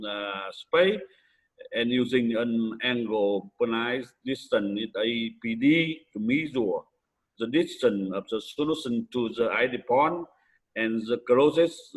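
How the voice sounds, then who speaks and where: A man from Vietnam with a Vietnamese accent gives an academic conference presentation in halting, hesitant English with frequent pauses. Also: medium pitch, husky, low-quality recording.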